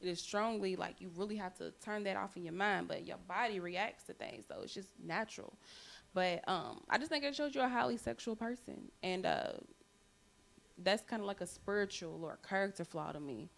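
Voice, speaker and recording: A woman's voice: mid-range voice, brisk, vocal fry. A woman in a casual group discussion, speaking earnestly from her own beliefs. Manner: earnest, speaks from personal conviction.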